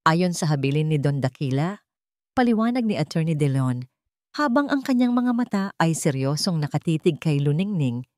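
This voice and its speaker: A woman with a low, mellow voice tells a dramatic story in a steady, deliberate way. She is recorded close to the mic, dry, with no room sound. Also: no room sound. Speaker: narrator. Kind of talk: scripted story narration.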